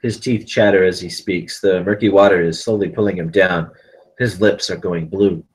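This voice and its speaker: Male narrator for screenplay action lines at a table read, notably low-pitched voice. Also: fairly even intonation, normal speed, low-quality recording. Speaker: stage-direction narrator.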